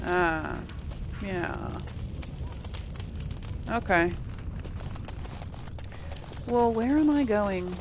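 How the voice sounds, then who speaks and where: A woman gives casual game commentary at a slow, unhurried pace. She rambles and muses to herself in a mid-pitched voice, with faint noise and game ambience behind her. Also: lively intonation. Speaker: let's play host.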